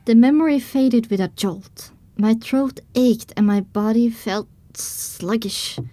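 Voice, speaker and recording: A woman reading scripted first-person narration as the heroine of an audio drama, recounting her dark adventure. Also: a little high, slow pace, dry recording. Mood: somber recounting.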